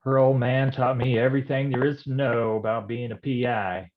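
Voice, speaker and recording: An actor playing a world-weary, hard-drinking private detective with slangy, hardboiled delivery in a film noir staged reading. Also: male voice, medium pitch, fairly even intonation, normal speed, narrow, tinny audio, close to an everyday voice. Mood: cynical, brooding.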